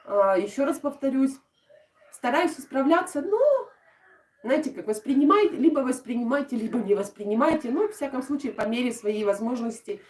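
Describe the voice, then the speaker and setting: A woman from Odesa with a southern Ukrainian accent in her Russian, softening her g sounds. She is chatting casually on a craft live stream and answering her viewers' comments with lots of fillers. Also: sits high, lively intonation, fairly quick. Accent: southern Ukrainian Russian, soft g and "sho". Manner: chatty and warm toward viewers, with frequent laughs and fillers. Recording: roomy, from a distant mic.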